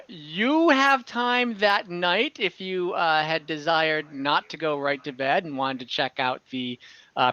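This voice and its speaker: A man narrating an online tabletop game as game master, often pausing mid-sentence as he describes scenes. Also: a little high, pushed and bright, clear, resonant tone. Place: indoor room, online call. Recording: dull, roomy home recording.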